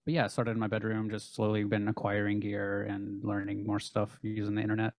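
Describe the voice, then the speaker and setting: A man in his late twenties, a band member who records and mixes the band himself, talking in a relaxed way in a casual interview. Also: lowish voice, a little fast, dry recording, studio quality. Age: late 20s. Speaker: band member and self-taught recording engineer.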